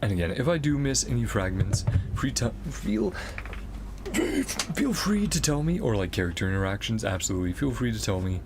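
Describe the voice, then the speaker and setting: A man's voice: fairly low pitch, big pitch swings, normal speed, noisy background. A man streaming a game, chatting casually with his viewers as he reacts.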